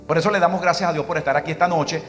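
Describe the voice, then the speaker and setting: A man's voice: fairly high pitch, fairly even intonation, racing, a bit bright, faint music behind. A middle-aged Venezuelan man with a Venezuelan Spanish accent, a pastor preaching and telling a personal story, acting out bits of dialogue. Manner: storytelling, quoting his wife in dialogue.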